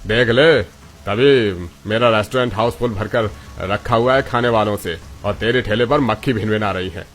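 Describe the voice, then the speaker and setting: A man's voice: lowish voice, moderate pace, a bit bright, faint music behind, natural voice. A man voicing an arrogant, jealous, scheming restaurant owner in a cartoon story, taunting and boastful. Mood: gloating. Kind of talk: Hindi cartoon story dialogue.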